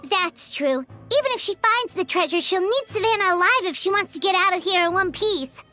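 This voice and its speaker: A woman voicing a tiny, excitable, childlike fairy companion in a video game, squeaky and earnest. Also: moderate pace, heavily acted. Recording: music bed behind the voice.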